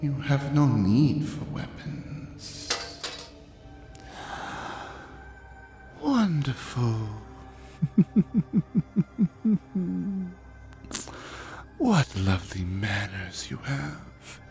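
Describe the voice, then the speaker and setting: Slow, drawling ancient god, played by a man. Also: medium pitch, very animated, gravelly, dark tone, soft background music, exaggerated voice. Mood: silky, coaxing. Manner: theatrical, pompous, drawling, mock-courteous. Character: ancient vain male dragon god, suave, hypnotic, menacing host. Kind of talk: scripted audio drama monologue addressed to the listener.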